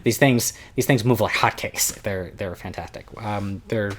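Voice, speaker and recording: A young man with a somewhat low, loud voice tells a long story at home in a chatty, meandering way. He trips over his words and restarts sentences often, like he is talking to a friend. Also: moderate pace. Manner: rambling, self-interrupting, chatty. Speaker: vlogger recounting a convention. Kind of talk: casual storytelling vlog.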